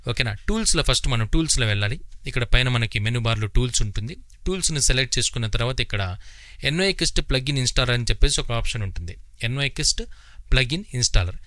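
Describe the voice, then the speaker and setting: A man giving an informal step-by-step software tutorial, instructional and often repeating himself, close on the mic. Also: mid-range voice, no echo. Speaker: tutorial host.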